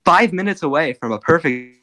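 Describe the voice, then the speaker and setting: A hoarse young man doing excited post-game commentary, laughing often and breaking into shouts. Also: on the high side, fairly quick. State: hoarse, lost voice.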